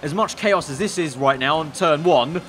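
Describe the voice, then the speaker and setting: A young man commentating a racing game, excitable and slightly hoarse, breaking into shouts at crashes. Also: a little high, normal speed. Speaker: gaming YouTuber.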